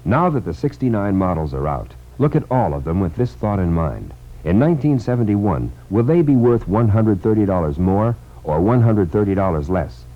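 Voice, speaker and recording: A muffled sixties radio recording features an American male announcer with a very deep voice. He reads a scripted commercial with wide, lively inflection. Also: normal speed. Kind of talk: scripted radio car advertisement.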